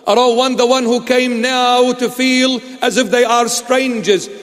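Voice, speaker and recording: A man preaches with a slow, measured pace and a high-pitched voice. He leans on repeated rhetorical questions and throws in light teasing asides. His words ring through a church hall with a long reverb. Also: little pitch movement. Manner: emphatic, repetitive rhetorical questions, playful asides. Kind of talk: sermon.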